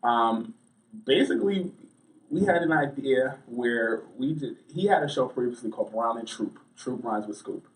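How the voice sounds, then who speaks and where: A male journalist giving conversational interview answers in a mid-range voice. He builds his points haltingly, often repeating words. The microphone sounds slightly distant. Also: mid-range voice, animated. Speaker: interview guest, sports and entertainment journalist.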